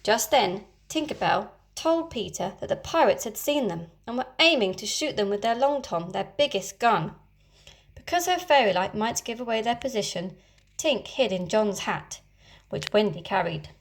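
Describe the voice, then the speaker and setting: A woman teacher reading a children's story aloud in an expressive, gently animated way. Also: medium pitch, moderate pace, vocal fry.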